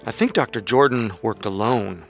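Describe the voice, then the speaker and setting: A man narrating a true-crime radio story in a measured, skeptical way over soft music. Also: mid-range voice, animated. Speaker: narrator and co-host.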